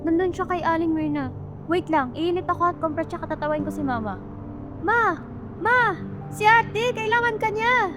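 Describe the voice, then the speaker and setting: A woman's voice: very high voice, normal speed, long pauses, music in the background. A woman with a Filipino accent playing a sweet, caring younger sister in an amateur radio drama. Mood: anxious.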